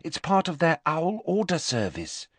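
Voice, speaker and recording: A man's voice: fairly high pitch, huge pitch swings, breathy edge, dry recording, voice pushed up, full character voice. A man voicing a clever, bossy teenage schoolgirl who lectures scornfully. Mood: cool. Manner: brisk and lecturing.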